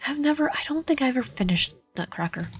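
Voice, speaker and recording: A woman's voice: sits high, lively intonation, quick pace, breathy edge. A woman gaming host reading a visual novel aloud with commentary, often stumbling over words. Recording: echoey room, faint music behind. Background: faint music.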